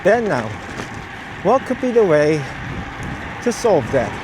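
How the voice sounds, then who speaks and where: Male cycling vlogger, high voice, explanatory and halting delivery, recorded outside with noticeable vehicle noise. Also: very animated. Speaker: vlogger, cyclist testing camera gear. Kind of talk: explanatory vlog talk while riding. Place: outdoors on the road while cycling. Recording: clear outdoor road and traffic noise. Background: ambience and vehicle noise.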